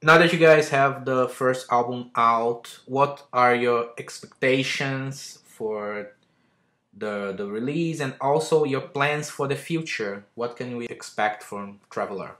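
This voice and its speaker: A man hosts a casual podcast interview. His voice sits in a roomy, echoing space. He speaks with a middle pitch. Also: takes it slowly, a bit bright. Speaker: podcast host.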